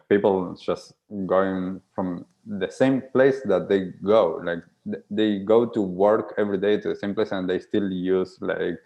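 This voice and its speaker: A man's voice: low voice, vocal fry, a little mellow, dull audio. A man from Argentina, a native Spanish speaker, hosting a podcast and musing reflectively with many fillers. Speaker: podcast host. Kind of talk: conversational podcast interview.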